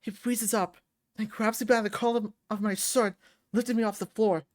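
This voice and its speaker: A woman's voice: on the high side, steady tone, moderate pace, darkish tone. A woman reading a visual novel aloud as dramatic scripted narration. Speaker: visual novel reader and voice performer.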